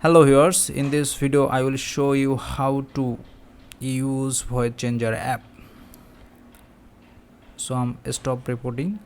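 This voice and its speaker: A man presenting a step-by-step app tutorial in halting, repetitive phrases. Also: mid-range voice, flat delivery, a little hiss or hum.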